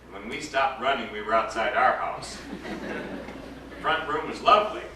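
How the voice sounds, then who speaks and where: A man giving a dramatic live reading of a story, expressive and theatrical, lingering over his words. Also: mid-range voice, normal speed. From United States. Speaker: actor reading a story aloud. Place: event at a ranch, roomy space. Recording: echoey distant live recording with background noise.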